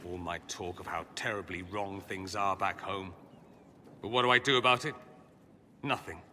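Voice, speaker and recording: An actor playing a witty, haughty, refined young mage in a video game, with a sardonic, erudite and theatrical manner. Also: male voice, low-pitched, steady tone, normal speed, pushed and bright, a little hiss or hum. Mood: earnest, reflective. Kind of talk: video game cutscene acting. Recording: reverberant hall with background ambience.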